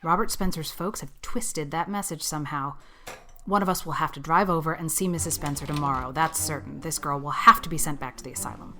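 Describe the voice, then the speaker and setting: A woman playing a stern, sharp-tongued, unyielding older spinster farm woman in an audiobook, brisk and scolding. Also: sits low, a little hiss or hum. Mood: indignant. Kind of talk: audiobook character acting.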